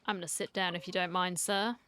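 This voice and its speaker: A woman's voice: lowish voice, steady tone, brisk, bright, forceful tone. A woman streamer giving casual, sympathetic commentary as she reacts to a game.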